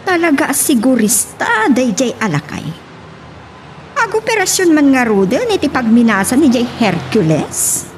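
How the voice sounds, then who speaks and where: A woman as a lively, worried, sharp-tongued madam. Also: sits high, measured pace, a little hiss or hum. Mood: suspicious. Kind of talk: radio drama acting.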